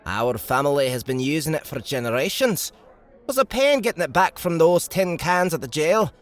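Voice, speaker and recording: Man voicing young male paladin, high voice, bouncy swings, blunt, gruff, audiobook. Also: big pitch swings, normal speed.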